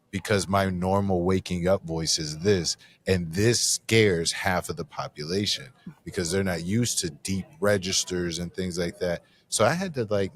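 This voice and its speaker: A man hosting a podcast interview at a live conference, talking in a reflective, rambling way with casual slang. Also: low voice. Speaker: podcast host. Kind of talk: conversational interview.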